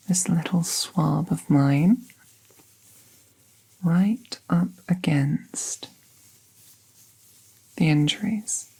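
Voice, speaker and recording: Woman playing a whispery, breathy, slow-talking fae healer. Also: lowish voice, mellow, full character voice, rough recording. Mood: careful, reassuring. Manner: slow, soothing, formal wording. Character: gentle, playful fae woman veterinarian tending a wounded werewolf. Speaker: audio roleplay performer. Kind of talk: soft-spoken ASMR roleplay.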